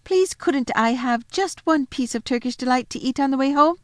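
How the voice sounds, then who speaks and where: A woman's voice: fairly low pitch, lively intonation, moderate pace, no room sound, clean studio sound, natural voice. Sulky storybook schoolboy, snappish and greedy; voiced by a woman reader. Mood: pleading.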